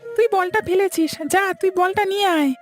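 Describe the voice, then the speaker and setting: A woman's voice: extremely high pitch, a little fast, soft background music. A woman voicing a young village boy in a cartoon, pleading and argumentative. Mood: bossy, annoyed. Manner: pleading, protesting. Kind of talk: cartoon voice acting.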